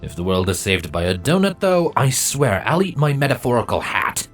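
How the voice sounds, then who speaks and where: A man's voice: medium pitch, very animated, moderate pace, pitched above the performer's own voice, close to an everyday voice. A man voicing an easygoing, joking changeling father in a fantasy story. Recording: music behind the voice.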